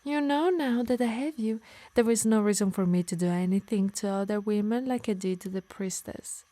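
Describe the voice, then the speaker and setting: A woman voicing a possessive, doting yet menacing harpy in an intimate ASMR roleplay, teasing and coaxing softly, often in whispers. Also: medium pitch, moderate pace, dry recording, natural voice. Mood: possessive, controlling. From Italy. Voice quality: breathy, soft. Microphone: close, dry microphone.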